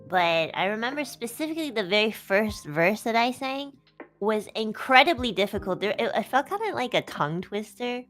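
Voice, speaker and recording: A woman's voice: on the high side, lively intonation, moderate pace, soft background music. A woman whose first language is Chinese, chatting casually and awkwardly on a stream, giggling and praising her friend. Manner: giggly, awkward, warmly complimenting. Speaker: guest streamer.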